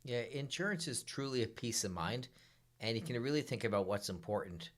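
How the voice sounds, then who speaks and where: A middle-aged man co-hosting a financial advice show, answering in quick, offhand replies. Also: medium pitch, lively intonation. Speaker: financial advisor and co-host. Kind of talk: casual chat.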